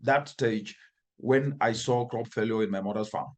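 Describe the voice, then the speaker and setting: A man's voice: mid-range voice, normal speed, a little mellow. A man from Cameroon with a Cameroonian accent, giving earnest, emphatic interview answers as an environmental expert and building his phrases through repetition. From northwestern Cameroon.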